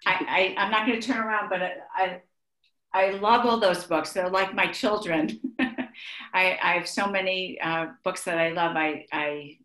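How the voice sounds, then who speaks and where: Author guest: middle-aged woman, Israeli accent, lowish voice, hesitant, echoey home room on a video call. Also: fairly even intonation, unhurried, sharp tone. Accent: Israeli-accented English. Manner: hesitant, frequent restarts, laughs easily. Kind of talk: online author interview.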